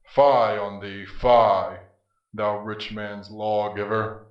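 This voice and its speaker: A man's voice: fairly low pitch, level tone, drawn-out words. A man playing Death, a grim, scornful spirit, in archaic, declamatory verse drama. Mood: defiant. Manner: archaic, theatrical, defiant. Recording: muffled, echoey, distant from mic.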